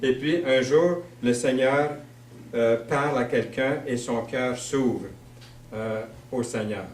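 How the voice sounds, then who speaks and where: Québécois preacher in a roomy hall. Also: male voice, mid-range voice, a little hiss or hum. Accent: Québécois French. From Quebec. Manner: conversational, storytelling, with fillers and slow emphasis. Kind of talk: sermon. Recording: roomy sound, mic at a distance.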